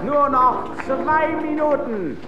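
A man's voice: very high-pitched, full character voice. An actor playing a gleeful, pushy master of ceremonies at a dance marathon in a radio drama, theatrical and coaxing as he works the crowd. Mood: excited, coaxing. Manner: theatrical, crowd-working, drawn-out exclamations, coaxing questions. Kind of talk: radio drama acting as show announcer. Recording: echoey, dull sound under loud brass music.

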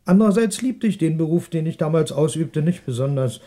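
A man's voice: on the high side, expressive, a little fast, warm tone, lightly acted. A male actor playing a cornered, apologetic ex-policeman and shopkeeper, speaking hesitantly and defensively in a 1960s German radio crime drama. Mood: halting confession.

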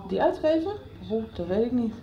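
Inquisitive little sister, animated higher voice. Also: female voice, a little fast, muffled recording. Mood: curious. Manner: questioning, insistent. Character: inquisitive, loyal young schoolgirl, somebody's little sister. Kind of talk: Dutch radio soap acting. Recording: 1990s radio soap production.

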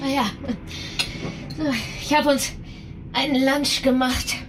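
An actress playing an outwardly innocent, suspicious wife in a German radio drama, measured and questioning. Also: female voice, high voice, little pitch movement, over music. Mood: probing.

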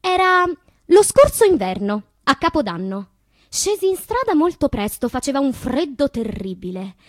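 A wistful tormented lady poet, stage monologue, highish husky voice, intense and dramatic, really dry close sound. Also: sing-song, no room sound. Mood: agitated. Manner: dramatic, slow deliberate delivery with hushed passages. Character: tormented woman poet recalling destructive loves, wistful and intense. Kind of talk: theatrical poetic monologue.

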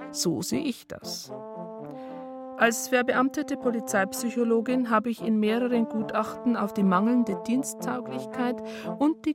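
An actress playing an indignant, officious police psychologist, narrating a radio drama in a formal, prim manner. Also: female voice, mid-range voice. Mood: aggrieved. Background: brass music.

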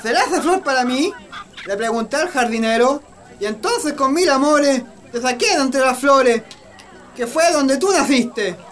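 A man's voice: very high-pitched, animated, unhurried, background noise. A man playing a flirtatious Chilean country cowboy declaiming singsong courtship verses in a radio play, with a Chilean accent. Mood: gallant, festive. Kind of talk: festive folk verse recitation in a radio play.

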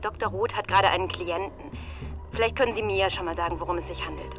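Radio play casting: actress as fast-talking, formal female office secretary, polite but guarded, loud music behind. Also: medium pitch, highs cut off, lightly acted. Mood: brisk, formal. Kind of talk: German radio drama acting.

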